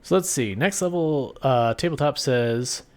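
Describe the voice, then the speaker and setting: Man, game streamer, calm, soothing, laid-back, mid-pitched, live commentary. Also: a little slow.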